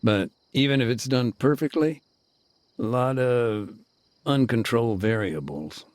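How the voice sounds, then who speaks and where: An actor playing a weary male aid worker who recounts events in a measured, laconic way during an interview in a video game. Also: medium pitch, dry recording, natural voice. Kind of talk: game cutscene dialogue.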